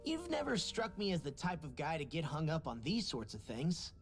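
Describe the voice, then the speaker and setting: A brash, candid young fighter. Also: male voice, a little high, huge pitch swings, moderate pace, faint music behind. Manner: casual, candid. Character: brash young male brawler, big-hearted. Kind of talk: video game cutscene dialogue.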